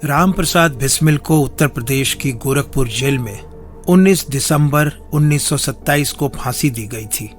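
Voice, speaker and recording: Male narrator, medium pitch, solemn, emphatic, dramatic documentary delivery, clear musical backing. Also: normal speed, faint music behind.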